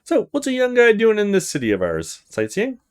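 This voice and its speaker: A man's voice: high-pitched, huge pitch swings, a little fast, clear, resonant tone, voice pushed up. A man voicing a friendly, slightly roguish old taxi driver with a folksy, chatty manner in a game. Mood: friendly, curious. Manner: folksy, chatty, eager to give tips.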